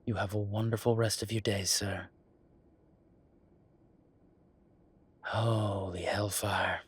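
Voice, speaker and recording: A man playing an exasperated, firm werewolf bureaucrat in an audio roleplay, talking emphatically in a dry, husky voice. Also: lowish voice, level tone, unhurried. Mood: weary, exasperated. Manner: exasperated, emphatic, repeating himself. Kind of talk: audio roleplay acting, one side of a phone call.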